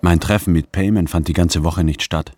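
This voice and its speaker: An actor playing a brooding, introspective office anthropologist who narrates a radio drama in a measured way. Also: male voice, low voice, steady tone, a little mellow, dry recording, clean studio sound. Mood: brooding, detached. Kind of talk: scripted first-person radio drama narration.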